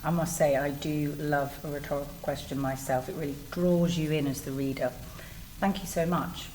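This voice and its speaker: Low-voiced, encouraging female interviewer. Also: steady tone, normal speed, a little mellow, low-quality recording. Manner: encouraging, affirming. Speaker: podcast interviewer, teacher. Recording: faint background noise.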